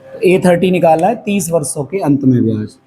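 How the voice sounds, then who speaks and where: A man's voice: on the high side, expressive, a little fast, mellow. A male maths teacher, teaching a class lesson at a whiteboard. He is patient and keeps asking questions, then answers them himself. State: hoarse. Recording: faint background noise.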